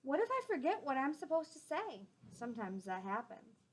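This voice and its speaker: High-voiced woman reading to young children. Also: big pitch swings, normal speed, low-quality recording. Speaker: teacher reading aloud to children. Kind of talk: picture-book read-aloud for young children.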